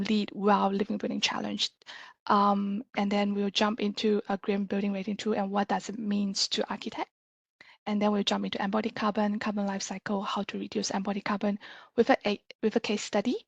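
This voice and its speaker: A woman in her thirties with a Malaysian accent, giving an informal, self-deprecating guest lecture in a halting way with repeated words. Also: mid-range voice, creaky, husky, no echo, highs cut off. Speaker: guest lecturer, sustainability consultant. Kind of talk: casual presentation lecture.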